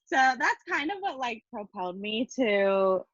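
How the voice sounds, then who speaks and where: Woman, late twenties, podcast guest, animated, candid, muffled dry recording. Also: a little high, measured pace, dry recording. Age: late 20s. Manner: animated storyteller, laughs through frustration. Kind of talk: casual interview chat.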